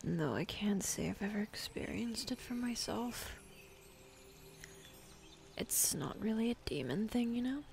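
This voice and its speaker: A woman playing a bossy, jaded female demon in an ASMR roleplay, speaking softly and often half-whispering, with dismissive sighs. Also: medium pitch, expressive, measured pace, vocal fry, pauses often, slight background noise. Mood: aloof, softening.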